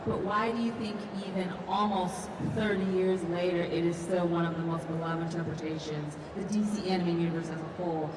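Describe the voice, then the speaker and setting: Lower-voiced woman moderating in an echoey hall. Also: fairly even intonation, fairly quick, few pauses. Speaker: panel moderator. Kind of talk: moderating a panel. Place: large convention hall. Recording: echoey hall from far off, crowd noise.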